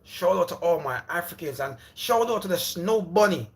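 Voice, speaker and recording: A man hosts a casual livestream from home. His voice sits fairly high for a man. He rambles and repeats himself in a loose, friendly way, calling viewers brother and bro. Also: expressive, normal speed. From Jamaica. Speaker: livestream host.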